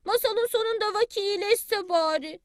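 Woman doing a high, eager little chick. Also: normal speed, no echo, studio quality. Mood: worried.

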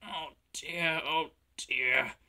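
Guy doing a proud train engine for kids, bright n forceful, swoops around, puffing his lines. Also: high voice, expressive, moderate pace, pitched above the performer's own voice, exaggerated voice. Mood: miserable. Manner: puffing, repeats phrases emphatically. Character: proud, determined male red steam engine.

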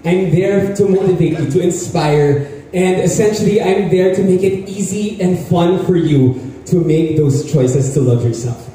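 A man's voice: sits high, measured pace, dark tone, slight background noise. A man in his twenties from the Philippines, a group fitness instructor giving an upbeat motivational speech and engaging the audience. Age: 20s. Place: large indoor hall stage. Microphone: handheld mic, filmed from far. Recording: echoey hall from far off.

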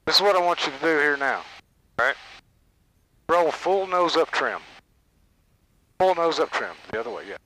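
A man's voice: a little high, normal speed, vocal fry, long pauses, poor audio. An older man, a flight instructor coaching a student in the cockpit in a folksy, encouraging way. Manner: encouraging, folksy, gives steady commands. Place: small airplane cockpit. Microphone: headset boom mic. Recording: engine noise behind headset audio.

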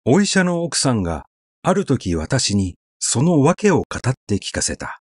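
A man reads literary fiction aloud at a slow, measured pace. His mid-pitched voice moves widely and expressively, yet stays conversational, as though he were simply telling the story. The sound is dry, with little room around it. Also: wildly swooping pitch, breathy edge, dry recording. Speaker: narrator, literary reader.

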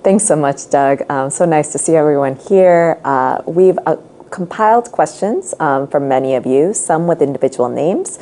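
Low-voiced woman moderating a town hall. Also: animated, moderate pace, mellow. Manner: polite, reads compiled questions. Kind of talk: moderating questions. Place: indoor studio.

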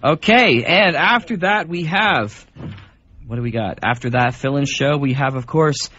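A man's voice: fairly high pitch, unhurried, sharp tone, slight background noise. A man hosting community radio with rambling, jokey, unscripted banter. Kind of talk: casual unscripted co-host banter. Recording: dull lo-fi radio recording.

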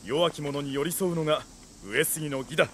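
An actor playing a zealous, righteous young samurai strategist in a game, declaiming theatrically and with grand ideals. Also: male voice, on the high side, moderate pace, a bit bright, slight background noise, natural voice. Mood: confident. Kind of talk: Japanese samurai game cutscene acting.

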